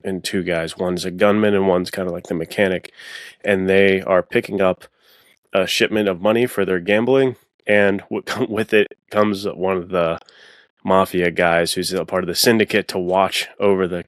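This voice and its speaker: A man's voice: low-pitched, dry recording. A man talking as a guest author in a casual, slangy podcast chat. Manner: informal, slangy.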